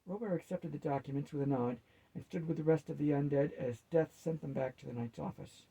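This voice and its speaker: A man with a husky voice reading fiction aloud as an expressive storyteller. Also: a little high, a little fast, rough recording. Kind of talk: expressive audiobook-style narration with character voices.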